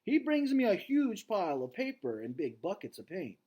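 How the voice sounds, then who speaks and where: A man's voice: high-pitched, wildly swooping pitch, moderate pace, mellow. A man speaking as a teacher reading aloud to students, conversational and casual, often checking in with his listeners as he comments.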